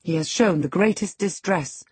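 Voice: medium pitch, moderate pace, husky, dry recording, voice pushed up. A narrator acting a blunt, disapproving housekeeper woman. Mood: indignant. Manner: frank, firm.

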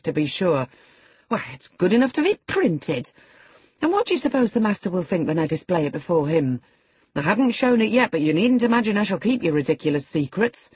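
A woman voicing a stern housekeeper who scolds a girl with sharp scorn. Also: on the low side, sing-song, brisk, husky, dry recording. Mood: scornful.

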